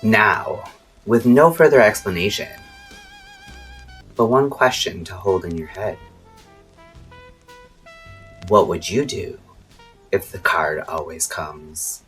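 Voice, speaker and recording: A woman performs a mysterious radio host with an extremely low, husky voice. The delivery is slow, cryptic and theatrical, like an eerie scripted late-night broadcast, with music playing underneath. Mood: welcoming, theatrical.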